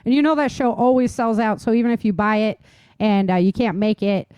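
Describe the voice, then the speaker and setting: A woman's voice: on the high side, fairly quick, a little mellow, smooth, no room sound. A woman co-hosting a show in a casual, chatty way, with an informal and enthusiastic manner. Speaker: podcast co-host and novelist. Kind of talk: casual chat.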